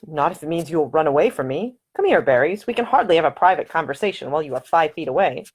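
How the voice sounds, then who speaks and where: A woman voicing a charming young gentleman who talks in a playful, gallant, gently teasing way. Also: low voice, lively intonation, quick pace, husky.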